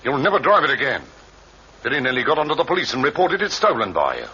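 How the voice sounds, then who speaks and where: Irate father, sharp and blustering. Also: mid-range voice, sing-song, quick pace, husky, exaggerated voice. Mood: indignant, disgusted. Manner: indignant, scolding, blustering. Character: irate, stern father of a grown son. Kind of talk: 1950s radio crime drama acting. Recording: dull, hissy vintage radio recording.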